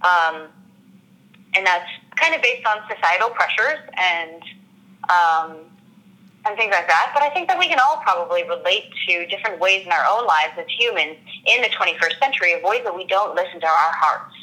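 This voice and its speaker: An actress in an interview, talking through her character in an earnest, reflective way and often pausing to search for words. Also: on the low side, lively intonation, sharp tone, low-quality recording. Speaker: actress discussing her role. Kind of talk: interview answers.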